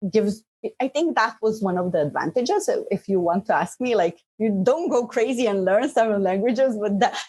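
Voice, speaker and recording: A woman's voice: mid-range voice, rough recording. Chatty woman guest, born in Slovenia. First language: Bosnian. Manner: lively, frequent "like" and "you know" fillers, laughs often. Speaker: podcast guest. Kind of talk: casual interview talk.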